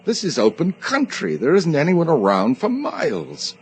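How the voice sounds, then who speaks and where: A man's voice: fairly high pitch, huge pitch swings, moderate pace, breathy edge, full character voice. An actor in a radio drama playing a guilty, domineering man with an intense, stammering manner. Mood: irritated, snapping. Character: guilty, agitated man hiding a killing, domineering yet pleading. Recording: radio drama recording with noisy ambience.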